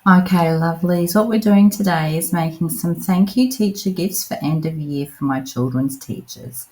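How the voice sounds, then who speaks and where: A woman's voice: on the low side, moderate pace, mellow, rough recording. A woman in her thirties or forties, a craft vlogger, chatting casually through a voiceover as she works. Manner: chatty, addresses viewers as "lovelies".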